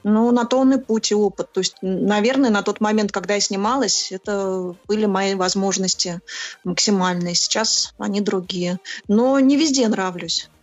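A woman speaks as the guest in an interview, with a mid-pitched voice and a slightly muffled recording. She talks expressively and conversationally, explaining things through examples and repeating words for emphasis. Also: moderate pace, dry recording. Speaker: interview guest, actress and film producer.

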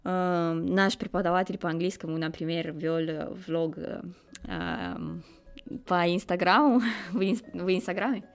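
A woman's voice: lowish voice, unhurried, soft background music. A woman in her twenties with a Romanian accent answers interview questions conversationally, hesitantly searching for words as a non-native speaker. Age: 20s. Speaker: interview guest, foreign student.

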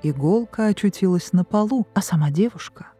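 A female storyteller with a dark, slightly rough-edged voice reads a fairy tale. Her delivery is measured and expressive, and music plays underneath. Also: medium pitch. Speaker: storyteller, voice artist. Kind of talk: scripted fairy-tale narration. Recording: music behind the voice. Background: background music.

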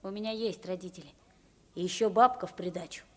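A woman's voice: lowish voice, fairly quick, natural voice. A woman playing a poor, eager and deferential young London street boy in a radio play. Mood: timid, respectful. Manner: polite and deferential, animated when describing games. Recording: vintage 1950s radio play recording.

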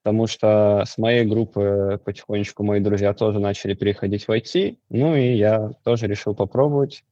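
A man in his twenties from Belarus answers interview questions casually. His voice is dark, relaxed and a little low. The sound is very dry and slightly muffled. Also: a little fast, dry recording. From Vitebsk region, Belarus. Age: 20s. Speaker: interview guest, Android developer.

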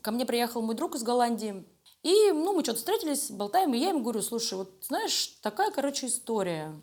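A woman's voice: on the high side, very animated, brisk, a little mellow. A woman being interviewed, talking casually and telling stories in informal, slangy speech. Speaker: animation studio creative director being interviewed. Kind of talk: casual conversational storytelling interview.

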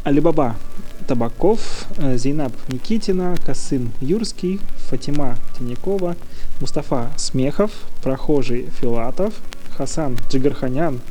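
A man's voice: medium pitch, lively intonation, measured pace, warm tone, faint music behind, low-quality recording. A man chatting casually as a podcast co-host, in an informal, hesitant way. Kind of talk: casual chat.

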